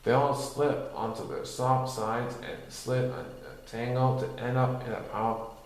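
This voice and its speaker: A man reading a children's story aloud as narrator, in an expressive storytelling style. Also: mid-range voice, measured pace, a little hiss or hum. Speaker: storybook reader. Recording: very echoey, reverberant room.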